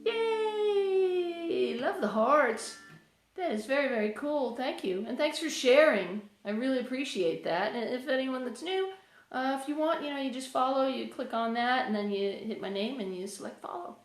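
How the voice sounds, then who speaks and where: A woman's voice: sits high, animated, normal speed, echoey room. An English woman hosting a casual livestream, chatting with viewers, reading out their names and thanking them between songs. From England. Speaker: livestreaming singer-songwriter. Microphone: phone livestream.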